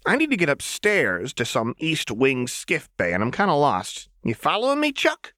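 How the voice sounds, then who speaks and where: Man acting out a manic young gunwoman, high and swooping, chirpy, taunting, breezy, gleefully menacing, audiobook character. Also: wildly swooping pitch, moderate pace, fairly bright tone, dry recording, clean studio sound.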